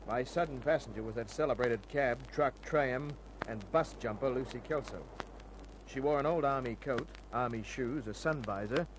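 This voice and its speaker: A man's voice: mid-range voice, moderate pace, lightly acted. An actor playing a wry, slangy hard-boiled investigator who narrates his own case in an old-time radio drama. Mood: wry narration. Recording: old radio recording, faint hum.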